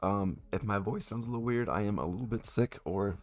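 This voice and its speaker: A man streaming a video game, his voice hoarse from a cold, talking casually with lots of ums. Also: lowish voice, fairly quick. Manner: relaxed, lots of ums and uhs.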